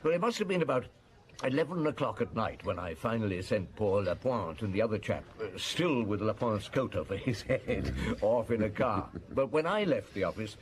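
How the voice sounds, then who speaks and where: An actor playing a shrewd middle-aged Paris police chief, who recalls a case in a wry, chuckling way for a radio drama. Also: male voice, lowish voice, lively intonation, normal speed, lightly acted. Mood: reminiscing. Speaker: narrator and detective.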